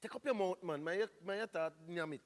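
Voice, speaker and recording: A man's voice: sits high, fairly quick, a little hiss or hum, close to an everyday voice. An actor playing a cranky, pleading Jamaican father in a stage comedy, insistent and exclamatory. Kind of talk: comedy stage play acting.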